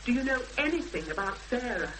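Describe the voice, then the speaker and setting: A woman playing an earnest young woman in a 1970s British radio drama, expressive and hesitant when pressed, on an old recording. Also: on the high side, quick pace, background noise. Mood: pleading, subdued. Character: Emma, earnest young woman searching for missing sister.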